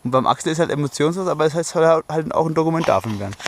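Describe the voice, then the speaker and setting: A man's voice: on the high side, lively intonation, very fast. A man chatting casually and jokingly while filming himself outdoors at a windy lookout. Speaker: traveler filming a trip. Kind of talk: casual vlog chat.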